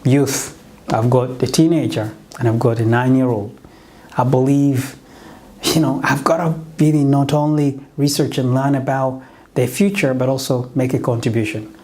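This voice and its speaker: Man, middle-aged, from Uganda, English not his first language, mellow tone, motivational business coach, earnest, self-correcting. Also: mid-range voice, fairly even intonation, normal speed. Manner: earnest, restarts and corrects himself. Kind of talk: motivational website pitch.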